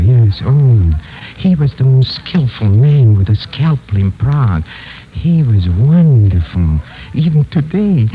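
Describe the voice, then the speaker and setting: An actor playing a nervous, guilty murderer on the run, stammering and repeating himself in a 1940s radio thriller. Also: male voice, mid-range voice, natural voice. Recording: noisy, dull 1940s radio recording.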